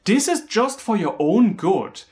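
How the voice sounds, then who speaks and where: A man's voice: sits high, sing-song, unhurried, a little mellow, pitched above the performer's own voice. A man voicing an overwrought parent scolding a child, preachy and melodramatic, in a comedy sketch. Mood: scolding, alarmed.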